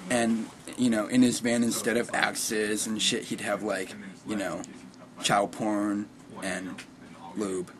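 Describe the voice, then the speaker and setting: A man's voice: on the low side, flat delivery, slow pace, rough recording. A twenty-three-year-old man giving blunt, wry opinions in a casual interview. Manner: blunt and wry, piling up details.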